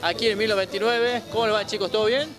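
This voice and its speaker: A young Argentine man hosts a local TV show in a fast, upbeat manner, with a fairly high voice. He holds a handheld mic in a bar, with loud live music playing behind him. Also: fairly even intonation. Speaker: TV host. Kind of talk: casual TV interview.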